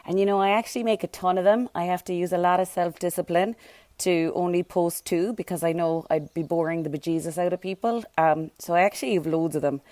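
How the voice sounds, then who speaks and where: Hoarse, chatty woman podcaster. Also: fairly low pitch, fairly even intonation, a little fast, mellow, no room sound. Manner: chatty, rambling, lots of fillers and tangents. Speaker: podcast host. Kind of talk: casual solo podcast chat.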